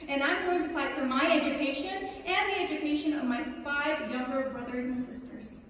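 High-pitched woman giving an impassioned stage speech. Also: a little fast, a bit bright. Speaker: stage reader. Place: at a lectern on a large theatre stage. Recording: echoey theatre hall from far off.

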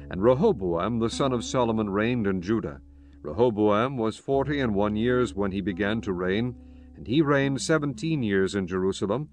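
A man narrating scripture from a script in a measured, solemn manner. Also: lowish voice. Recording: music bed behind the voice.

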